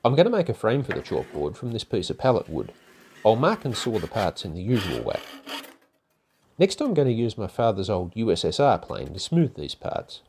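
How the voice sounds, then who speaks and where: An older man narrating a woodworking project in a calm, methodical, step-by-step way, as host of his own workshop channel. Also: lowish voice, moderate pace, noisy background. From Australia. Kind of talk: instructional voice-over narration. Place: home garage workshop.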